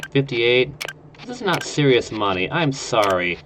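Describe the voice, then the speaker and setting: A man's voice: mid-range voice, big pitch swings, unhurried, fairly bright tone, a little hiss or hum. A man with a nasal, stuffed-up voice from a cold, rambling through casual gameplay commentary. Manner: rambling, lots of uh fillers. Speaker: game streamer.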